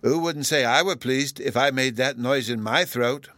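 Man acting a small storybook boy, raised pitch, babyish speech, earnest, stubborn, dry recording. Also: moderate pace, pushed and bright, no room sound, natural voice.

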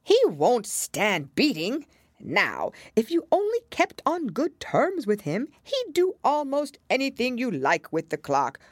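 A woman voicing a mad male Hatter in a storybook, argumentative and mournful, with a loud theatrical delivery. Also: on the high side, wildly swooping pitch, measured pace, dry recording, studio quality, pitched above the performer's own voice, heavily acted. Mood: contemptuous, boastful.

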